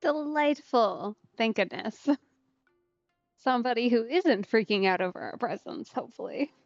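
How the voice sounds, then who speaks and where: A woman streaming a game with casual commentary. Her voice is fairly high and close, in a dry, slightly dull recording with soft game music underneath. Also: lively intonation, a little slow, dry recording. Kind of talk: casual live playthrough commentary.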